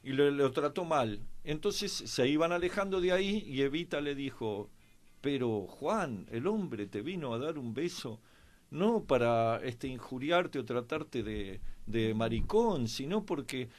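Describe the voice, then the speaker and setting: A man with an Argentine accent telling anecdotes conversationally as a guest commentator, halting often with pauses and filler words. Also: medium pitch, expressive. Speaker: guest commentator on a history and politics programme.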